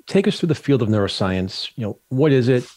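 A man's voice: mid-range voice, very animated, fairly quick, darkish tone, no room sound. A man hosting an interview, putting questions to his guest in a friendly, conversational way. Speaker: podcast host.

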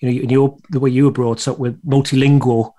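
A man hosting an interview podcast who asks his questions haltingly, restarting them with many fillers. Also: medium pitch, little pitch movement, warm tone. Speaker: podcast host. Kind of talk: casual interview conversation.

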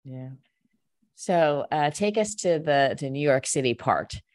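A woman's voice: low voice, expressive, unhurried, darkish tone. A woman hosting a conversational interview, curious and prompting her guest with short questions. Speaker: podcast host.

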